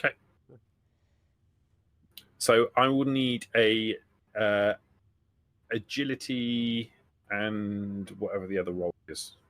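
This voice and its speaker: A man runs a tabletop game in a fairly low voice, recorded close and dry. He narrates off the cuff, hesitating and rewording as he builds each description. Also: steady tone, a bit bright, dry recording. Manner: hesitant, halting, often rephrasing. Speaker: game master.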